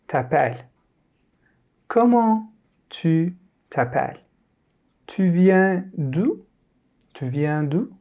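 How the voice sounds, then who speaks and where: Slow, mellow teacher from Turkey, 30s, drills each word twice for beginners. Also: mid-range voice. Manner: models each word clearly, then repeats it. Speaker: French teacher. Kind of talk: slow repeat-after-me language lesson.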